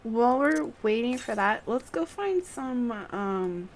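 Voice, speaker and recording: Over light background noise, a woman chats casually while streaming a game. Her voice sits fairly high and her pace is very relaxed and slow, in the loose style of live play commentary. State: coughing. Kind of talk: casual livestream gameplay commentary, reading dialogue aloud.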